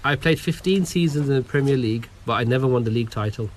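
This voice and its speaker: Young man, casual football podcast host, mid pitch, faint hiss behind. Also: fast. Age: young adult. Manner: patient, lightly exasperated, reads emails and quiz clues. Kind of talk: casual chat.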